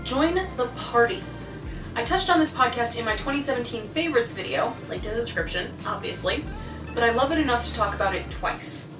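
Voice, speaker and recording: High-voiced American woman vlogger, roomy sound. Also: lively intonation, brisk. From United States. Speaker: video host. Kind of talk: scripted recommendation vlog, casual chat. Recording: roomy, distant mic, music behind.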